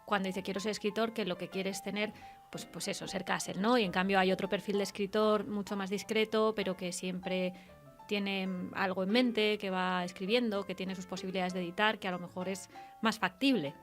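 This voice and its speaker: A woman from interviews a guest on an informal radio show. Her voice sits at a middle pitch, and she phrases things thoughtfully and conversationally. Some music can be heard with her. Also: fairly even intonation, fairly quick.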